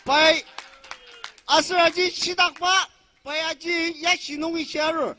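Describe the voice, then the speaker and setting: Rally interpreter, male. Strained-high pitch, sharp projecting tone, declamatory phrase-by-phrase delivery. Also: fairly even intonation, a little slow, a little hiss or hum. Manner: declamatory, emphatic. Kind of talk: loud public speech, translating phrase by phrase.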